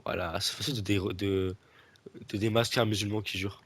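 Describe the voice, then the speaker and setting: A man's voice: on the low side, steady tone, a little fast, a bit bright. A young man with a stuffy nose from a cold, chatting casually and teasing his friend while playing a video game, rambling with lots of fillers and restarts. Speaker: gaming video creator. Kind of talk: casual gameplay chat with a friend.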